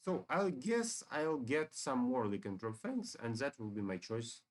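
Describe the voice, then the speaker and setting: A man talks through a video game in an informal, unhurried way. His pace is slow and pausing, while his pitch rises and falls a lot. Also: big pitch swings. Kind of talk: game walkthrough, reading story dialogue aloud.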